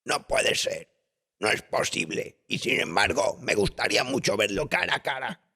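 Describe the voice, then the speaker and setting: A man voicing an old, cultured, hard-drinking tavern regular, bitter and self-loathing, speaking in an emphatic, halting way for an audiobook tale. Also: medium pitch, wildly swooping pitch, gravelly, bright, forceful tone, voice pushed up, full character voice. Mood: shaken, intent.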